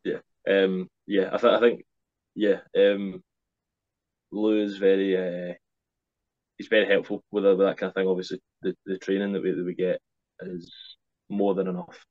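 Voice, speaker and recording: A man's voice: sits low, flat delivery, normal speed, pauses often, dry recording. A nineteen-year-old man, answering interview questions hesitantly with many ums, on a video call from a small room at home. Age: young adult, 19. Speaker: wrestler, guest. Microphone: webcam on a video call. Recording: slightly dull lo-fi call audio.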